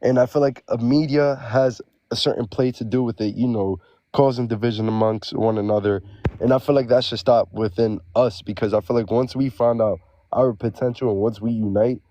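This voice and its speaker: A man's voice: mid-range voice, steady tone, moderate pace, dark tone. A man from the Bronx, talking in a hesitant, agreeable way with many fillers in a casual podcast discussion. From the Bronx, New York. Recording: dull, dry lo-fi sound.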